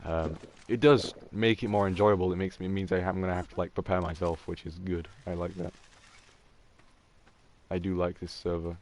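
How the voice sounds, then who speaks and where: A young man with a deep voice, rambling casually as he plays a game and talks over voice chat. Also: level tone, moderate pace, darkish tone, a little hiss or hum. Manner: rambling, chatty, trailing off mid-thought. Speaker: gaming streamer. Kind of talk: casual gameplay commentary with voice chat.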